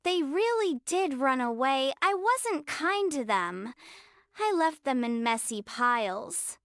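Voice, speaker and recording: A woman's voice: high voice, big pitch swings, slow pace, fairly bright tone, no echo, studio quality, full character voice. A woman voicing a sad, sorry little girl in a children's bedtime story, speaking in an earnest, pleading way. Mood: sad, forlorn.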